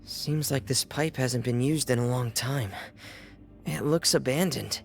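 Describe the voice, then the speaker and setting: Hesitant teenage boy, gravelly edge. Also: medium pitch. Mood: pensive. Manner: hesitant, halting. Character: soft-spoken, earnest, troubled teenage boy. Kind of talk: video game voice acting. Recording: game music behind the voice.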